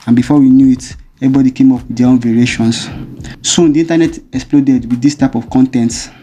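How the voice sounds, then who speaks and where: A man hosting a commentary channel, reading a measured, explanatory script. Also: mid-range voice, mellow, slight background noise. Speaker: video essay host.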